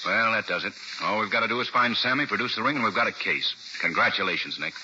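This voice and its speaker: A fatherly middle-aged prosecutor: low voice, steady, old lo-fi radio with hiss. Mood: kindly. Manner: steady, reassuring, measured. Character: calm, paternal middle-aged assistant district attorney. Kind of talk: 1940s radio acting. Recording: old 1940s radio broadcast, hissy and dull.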